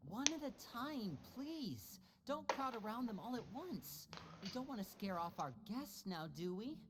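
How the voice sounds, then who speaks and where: A woman's voice: fairly high pitch, unhurried, very noisy. A woman voicing a composed, polite young woman in a video game who guides guests around. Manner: calm, courteous, gently corrective. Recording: game audio with music behind. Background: game music.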